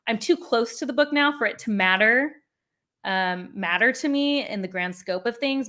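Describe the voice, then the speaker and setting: A woman with a bright, forward voice hosts a casual chat about books. She rambles, with plenty of fillers and restarts. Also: mid-range voice. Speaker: booktube host. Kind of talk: casual book discussion.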